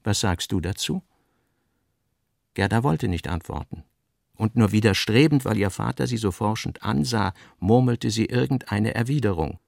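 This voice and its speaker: A man narrating a literary radio drama in a measured, sober manner. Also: fairly low pitch, lively intonation, gravelly, a little mellow, no echo, studio quality.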